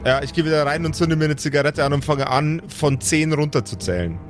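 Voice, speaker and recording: A man's voice: on the high side, brisk, over music. A man improvising casually as a player in a tabletop role-playing show. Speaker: player in a pen-and-paper show.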